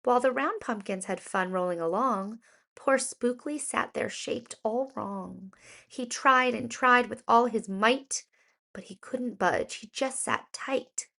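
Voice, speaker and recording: A woman reads a children's rhyming story in a fairly high voice. Her pace is very slow and deliberate, and she shapes each phrase dramatically, stressing important words as a storyteller for young listeners would. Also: vocal fry, pushed and bright. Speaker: children's storybook reader, educator.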